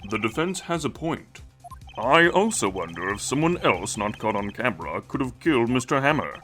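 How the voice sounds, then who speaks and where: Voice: medium pitch, sing-song, moderate pace, fairly bright tone, faint music behind. An actor playing a dignified elderly male judge in an amateur game dub, formal, ponderous and hesitant. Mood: pondering.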